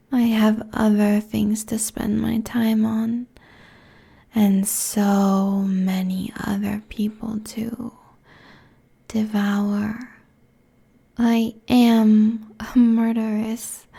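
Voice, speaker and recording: A woman plays a merciless succubus queen in an intimate ASMR roleplay. Her voice is husky and dark, drawn out very slowly with a creaky edge. She sounds sultry and commanding, right up at the microphone. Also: mid-range voice, exaggerated voice. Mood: menacing, seductive. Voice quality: breathy, whispery, husky. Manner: slow, commanding, sultry, deliberate. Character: seductive, merciless succubus demon queen draining mortal souls. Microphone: close binaural microphone.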